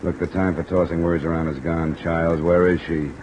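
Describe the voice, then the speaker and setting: A man acts out a hard-boiled American investigator with a deep voice. He is terse and worn down, in the manner of 1950s radio drama. Clear rain noise runs over the old recording. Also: flat delivery, moderate pace, darkish tone, lightly acted. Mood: hard, demanding. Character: tough, weary male insurance investigator narrating his case.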